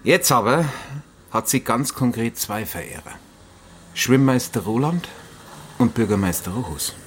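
An actor playing an earnest, fussy villager with a Bavarian-Austrian accent who explains things in a roundabout way in a radio drama. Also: male voice, mid-range voice, animated, a little slow, slight background noise, close to an everyday voice. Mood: explaining.

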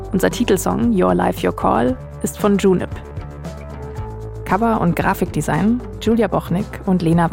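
A woman's voice: fairly low pitch, gravelly. A woman, hosting a podcast and narrating from a script. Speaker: podcast host.

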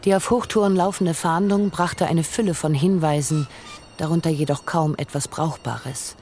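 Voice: mid-range voice, moderate pace, a little mellow, soft background music. A narrator reading scripted literary prose for a radio drama.

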